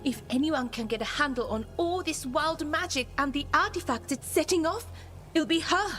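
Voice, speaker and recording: A resolute female elven scout from a fantasy game. Her fairly high voice is solemn and earnest over a music bed. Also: normal speed, fairly bright tone. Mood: solemn, urgent. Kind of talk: dramatic game dialogue.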